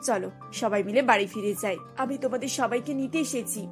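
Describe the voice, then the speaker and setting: A woman plays an authoritative cartoon mother-in-law, a mature lady with a fairly high voice. She speaks in a measured, commanding way, with some music playing behind her. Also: close to an everyday voice. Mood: tender, reassuring. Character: commanding older mother-in-law, scheming yet tender. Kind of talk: dubbed cartoon story dialogue.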